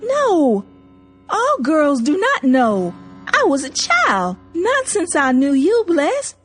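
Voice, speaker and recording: A trembling young girl pleads in a high, soaring voice, stammering over her words and pulling them out slowly, as a woman reader puts her on. Her tone shifts away from the reader's own and sounds distant and fragile. Faint music plays underneath. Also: huge pitch swings, fairly bright tone, heavily acted. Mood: pleading.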